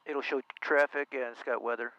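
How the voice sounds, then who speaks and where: A man's voice: mid-range voice, steady tone, brisk, pushed and bright. A man, a pilot, casually talking through cockpit steps and settings aloud. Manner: talks through steps aloud, sometimes muttering. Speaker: pilot demonstrating the plane. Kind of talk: casual cockpit walkthrough with checklist talk. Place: small aircraft cockpit. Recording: dull cockpit intercom audio, faint noise.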